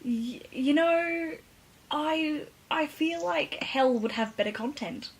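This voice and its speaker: A woman's voice: sits high, takes it slowly, slight background noise, poor audio. A woman co-hosting a podcast, chatting casually and cracking wry jokes. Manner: wry, joking. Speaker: podcast co-host. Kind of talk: casual comedic chat.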